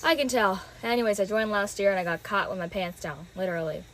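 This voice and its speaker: A woman playing a smug, self-assured investor, a scheming man with measured delivery, in an old-time detective radio drama. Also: mid-range voice, fairly bright tone, a little hiss or hum, lightly acted, rough recording.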